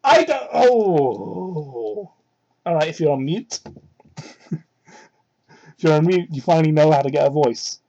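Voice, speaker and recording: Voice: medium pitch, lively intonation, a little slow, long pauses, warm tone, lo-fi sound. A person giving a mocking dramatic reading of fan fiction, breaking off into exasperated, incredulous, foul-mouthed asides, on a poor-quality hobby microphone. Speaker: amateur reader of fan fiction.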